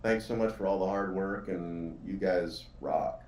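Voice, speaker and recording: A man's voice: low-pitched, a little slow, a little mellow. A man chatting casually as a guest. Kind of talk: casual chat. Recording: roomy distant mic, faint noise.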